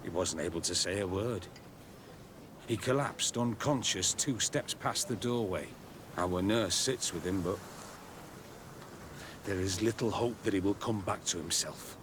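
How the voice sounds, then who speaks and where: Weary, low-voiced outpost captain, ambience behind. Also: male voice, unhurried, pauses often, noisy background. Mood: grim, weary. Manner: bitter, self-deprecating. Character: weary, embittered male outpost military captain. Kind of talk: video game cutscene acting.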